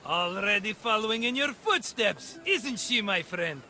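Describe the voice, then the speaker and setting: An actor playing a jovial, scheming middle-aged rogue in a video game, with a theatrical, effusive manner. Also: male voice, high-pitched, expressive, unhurried, sharp tone, a little hiss or hum, exaggerated voice. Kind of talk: cinematic video game acting.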